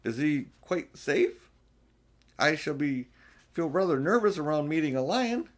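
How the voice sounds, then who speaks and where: A man's voice: fairly high pitch, animated, a little slow, voice pushed up. A man voicing a polite, nervous schoolgirl in a storybook, speaking in a hesitant, proper way. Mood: uneasy. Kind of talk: storybook character voice.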